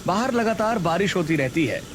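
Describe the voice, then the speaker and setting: A man's voice: high voice, big pitch swings, fairly quick, a little hiss or hum. A man reading scripted narration for a Hindi cartoon story.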